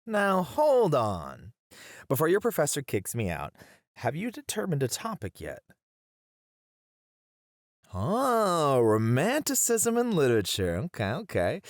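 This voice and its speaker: Guy playing a playful dramatic teacher who teases, super expressive pitch, dry close sound, asmr roleplay. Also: unhurried, pauses often, no room sound. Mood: theatrical. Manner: teasing, dramatic, flowery. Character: playful, theatrical male colleague who loves teasing.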